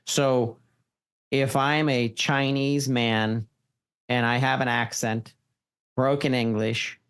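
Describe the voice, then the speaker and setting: A man hosting a podcast interview, asking long, winding questions with restarts. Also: mid-range voice. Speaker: podcast host.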